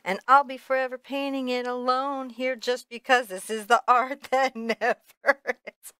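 A woman with a bright, forward voice and a dry, close sound hosts a painting lesson. She chats casually and playfully, poking fun at herself while she explains what she is doing. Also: sits high, level tone, moderate pace, clean tone, dry recording. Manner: playful, chatty, self-deprecating. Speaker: painting teacher, show host. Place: indoor art studio.

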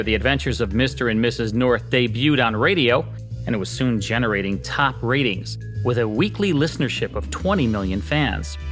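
A man's voice: medium pitch, fairly bright tone. American male host over faint music. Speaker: podcast host. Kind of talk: scripted narration.

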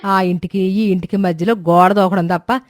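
A woman voicing a quarrelsome, nagging older mother-in-law in an animated moral story. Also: lowish voice, normal speed, no room sound, clean studio sound, lightly acted. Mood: scolding. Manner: sharp-tongued, nagging.

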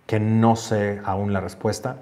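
A man's voice: fairly low pitch, flat delivery, measured pace, a little mellow. A man in his forties hosting a casual stream from a home office, reading viewer comments aloud and musing on them earnestly. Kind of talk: casual livestream chat. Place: home office with shelves, indoors.

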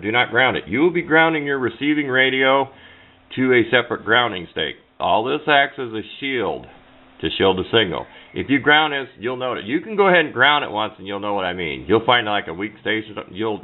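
A folksy, plain-spoken man giving a casual hands-on tutorial at a workbench, picked up by a camera microphone. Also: fairly low pitch. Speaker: DIY radio hobbyist demonstrating. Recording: muffled phone-like audio, background noise.

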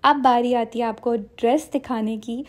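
A woman's voice: fairly high pitch, fairly even intonation, normal speed. A young woman from Pakistan narrating her shopping vlog in a chatty way, walking through the prices step by step. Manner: chatty, explains prices step by step, mixes in English phrases. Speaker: vlogger.

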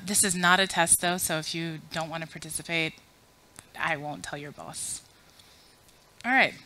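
A woman's voice: lowish voice, bright, forceful tone. A woman in her thirties, originally from Afghanistan, giving a chatty, self-deprecating conference talk to a room full of people and running audience polls. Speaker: conference speaker. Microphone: podium mic.

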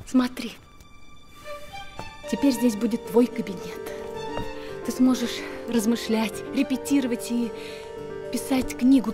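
A woman's voice: on the high side, lightly acted. An actress playing a distraught, clinging woman in her thirties, pleading tearfully in a naturalistic TV melodrama. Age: 30s. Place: furnished room indoors. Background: music.

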